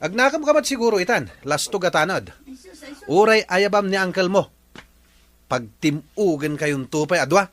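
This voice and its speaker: A man's voice: sits high, lively intonation, normal speed, a bit bright. A man with an Ilocano accent playing a scornful, bullying engineer in a comedy drama. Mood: aggressive. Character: scornful, bullying male engineer picking a fight.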